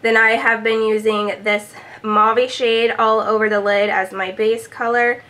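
A woman's voice: fairly high pitch, level tone, unhurried, a bit bright. A young woman talking to camera in a small room at home, chatty and upbeat with plenty of ums, sounding a bit congested from a cold. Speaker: beauty vlogger. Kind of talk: casual product update to camera.

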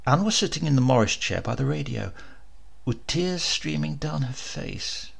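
Creaky-voiced man narrating horror. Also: mid-range voice, expressive, moderate pace. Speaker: audiobook narrator. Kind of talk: dramatic horror story narration.

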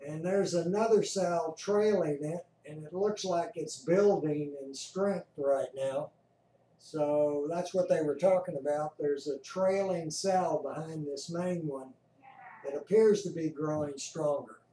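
Man, casual explainer, unhurried, mellow tone, slightly high pitch, echoey room, distant mic. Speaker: amateur host explaining a weather radar. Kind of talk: casual live commentary. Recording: roomy, distant mic, faint noise.

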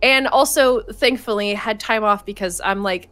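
A woman's voice: medium pitch, normal speed, fairly bright tone, smooth. Chatty young woman with a slight cold. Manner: chatty, upbeat, laughs a lot, says "like" often. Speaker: streamer, co-host. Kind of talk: casual chat while gaming.

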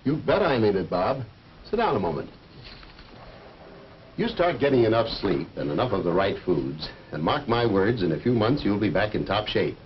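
A man's voice: fairly low pitch, sing-song, normal speed. A man playing an earnest, fatherly coach and biology teacher who lectures in 1950s educational film style. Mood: earnest advising. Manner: didactic, earnest, explanatory. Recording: muffled, noisy old educational film soundtrack.

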